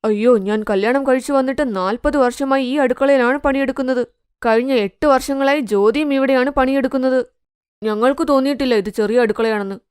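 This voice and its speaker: A woman voicing an elderly, considerate and gently firm mother-in-law in a cartoon moral story. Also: high-pitched, fairly quick, smooth, dry recording, clean studio sound, lightly acted. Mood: indignant.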